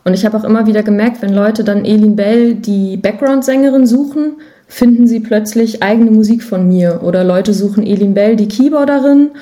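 A woman's voice: mid-range voice, moderate pace, few pauses, mellow. A woman speaking candidly and chattily as a guest in a casual interview, readily a little self-deprecating. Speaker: podcast guest, musician and voice artist.

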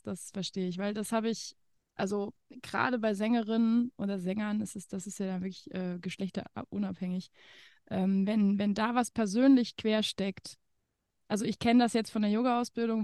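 A woman hosting a podcast and chatting casually with her guest, laughing often and filling pauses with many fillers. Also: mid-range voice, dark tone, no room sound, clean studio sound. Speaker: podcast host. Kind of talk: casual interview chat.

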